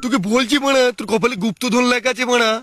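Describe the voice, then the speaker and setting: A man acts out an irritable cartoon bully with a Bengali accent. His voice sits high for a man, and he rants crudely in a comic parody dub. Music plays clearly behind him. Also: steady tone, quick pace, fairly bright tone. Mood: coaxing. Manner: ranting, heavy swearing. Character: foul-mouthed, irritable cartoon man who rants and bullies.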